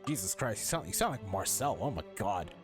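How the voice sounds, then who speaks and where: A young man giving casual gaming commentary, slangy, halting and exclamatory. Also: on the low side, very animated, music in the background. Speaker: streamer reacting to a game.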